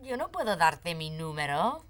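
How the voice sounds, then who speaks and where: A woman's voice: low-pitched, very animated, moderate pace, a bit bright. Playful woman co-hosting an English lesson. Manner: playful, teasing banter with co-host. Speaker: language teacher co-host. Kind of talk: bilingual English lesson presenting.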